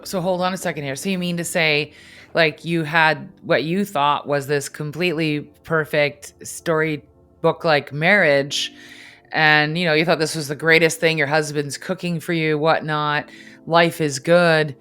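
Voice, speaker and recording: A woman's voice: sits low, fairly even intonation, a little slow, a bit bright, clear, resonant tone, soft background music. A woman hosting an interview, recapping the guest's story back to her as a question. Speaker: host.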